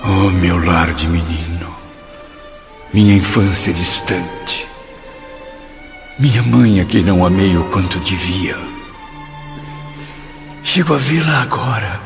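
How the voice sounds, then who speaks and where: An anguished male spirit in a radio drama, slow and gravelly, full of remorse and longing. The declamatory lament sits in long reverb over music. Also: fairly low pitch. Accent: Brazilian. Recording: long reverb, muffled, loud music behind.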